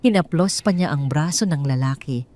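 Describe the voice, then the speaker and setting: A woman's voice: sits low, normal speed, a little mellow. A woman with a Filipino accent narrating a scripted dramatic audio story as a storyteller.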